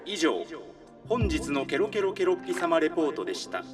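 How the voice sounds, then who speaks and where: A man's voice: on the high side, big pitch swings, brisk, far from the mic, music in the background. A man playing a polite, formal public-address announcer in an anime audio drama. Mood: formal, upbeat. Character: public-address announcer of a high-tech kingdom.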